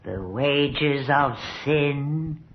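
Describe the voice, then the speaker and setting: Slow-spoken, anxious old nursemaid. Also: female voice, a bass voice, heavily acted. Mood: grim foreboding. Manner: fearful, pleading, solemn. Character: anxious, devoted old nurse, foreboding servant. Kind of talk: radio horror drama acting. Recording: dull, hissy vintage radio recording.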